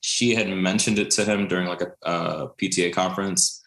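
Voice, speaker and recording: Deep-voiced Brooklyn man in an echoey room. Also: steady tone, fairly quick, fairly bright tone. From Brooklyn, New York. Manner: chatty, many fillers, laughs often. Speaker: guest, contemporary dancer. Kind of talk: casual interview storytelling.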